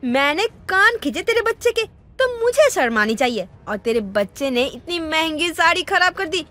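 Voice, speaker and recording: A woman playing a haughty, hot-tempered young daughter-in-law who scolds in a melodramatic animated story. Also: very high-pitched, moderate pace, soft background music, heavily acted. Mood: angry. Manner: scolding, confrontational.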